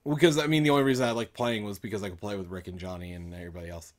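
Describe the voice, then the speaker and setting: A man's voice: fairly low pitch, steady tone, rapid-fire, a crowd in the background. A man co-hosting a podcast, chatting in an informal, rambling way. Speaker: podcast co-host. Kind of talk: casual chat.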